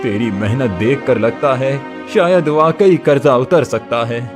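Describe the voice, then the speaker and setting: A man's voice: unhurried, faint music behind, natural voice. A man voicing a worried aging farmer father. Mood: relieved. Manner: soft, anxious, low. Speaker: voice actor.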